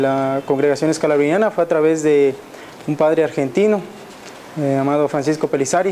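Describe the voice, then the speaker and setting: A young man in his twenties from Mexico, a seminarian sharing his testimony with hesitant pauses. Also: a little high, dark tone, slight background noise. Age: 20s. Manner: hesitant, many fillers and restarts. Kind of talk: personal testimony.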